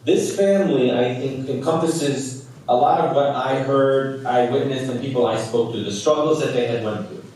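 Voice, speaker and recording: A man from Chicago giving an earnest, measured personal testimony as a guest speaker over a video call, with frequent fillers. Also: medium pitch, a little mellow, slight background noise. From Chicago, United States. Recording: very echoey, distant from the mic.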